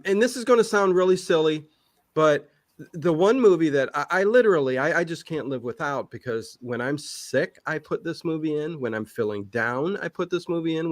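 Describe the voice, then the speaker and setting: A man chatting casually as an interview guest, rambling and halting, often backtracking and repeating himself, with emphatic interjections. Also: on the high side, no room sound. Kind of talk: casual chat.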